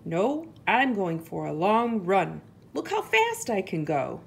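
A woman voicing a playful, excitable young puppy in a children's storybook. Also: medium pitch, takes it slowly, creaky, slightly rough, heavily acted. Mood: excited. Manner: barking, excitable. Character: bouncy, playful young puppy eager to run.

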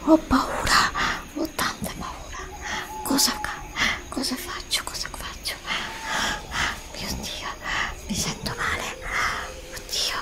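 Woman acting a lost fairytale heroine, anxious, breathy whisper, high jumpy pitch, short repeated phrases, ASMR roleplay. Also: huge pitch swings, slow pace, long pauses, heavily acted. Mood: panicked, despairing. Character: frightened, desperate young heroine lost in a magic forest. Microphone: close USB studio microphone.

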